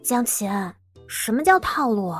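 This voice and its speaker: A woman playing a cute, guileless rich student girl in an audio drama, naive and deadpan. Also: on the high side, unhurried, slightly rough, dry recording, soft background music, heavily acted. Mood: feigned naivety.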